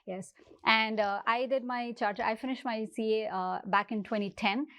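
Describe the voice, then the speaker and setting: A woman's voice: a little high, fairly even intonation, normal speed, fairly bright tone, smooth. A woman, answering interview questions conversationally as a guest. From Nepal. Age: 30s. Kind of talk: conversational interview answers about personal life.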